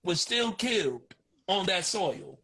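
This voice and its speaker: A man's voice: high voice, expressive, takes it slowly, crowd noise. A man in his forties talking casually and emphatically on a podcast, repeating phrases and using street slang. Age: 40s. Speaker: guest.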